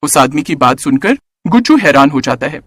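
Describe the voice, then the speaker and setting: A man narrating a children's story in an animated, scripted storytelling style. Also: fairly high pitch, fairly quick, no room sound, clean studio sound.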